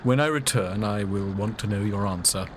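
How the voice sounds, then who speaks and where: An actor as a stern, cold man of duty in a storybook drama, commanding and insistent. His voice is fairly low, over faint background noise. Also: normal speed, close to an everyday voice. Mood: cold, pressing. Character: cold, stern, dutiful clergyman demanding marriage.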